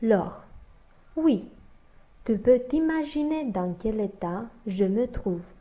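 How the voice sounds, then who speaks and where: A woman slowly reading a scripted learner dialogue aloud, naming each speaker before their line. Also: a little high, expressive, warm tone. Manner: slow, deliberate, reads both parts and announces each speaker.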